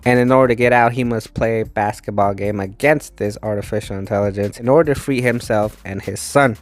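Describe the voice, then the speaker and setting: A man's voice: brisk, soft background music. A man hosting a movie review channel, sounding congested and tired as he recovers from losing his voice, speaking close into a microphone. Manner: low-energy, tired. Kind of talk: casual spoken review. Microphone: speaking close into a mic, voice-over.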